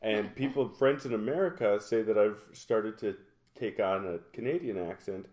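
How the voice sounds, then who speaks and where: A man's voice: lowish voice, moderate pace, a little mellow. A man with an Upper Midwest accent, co-hosting a casual podcast chat around a table and rambling with many restarts. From Minnesota. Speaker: podcast co-host.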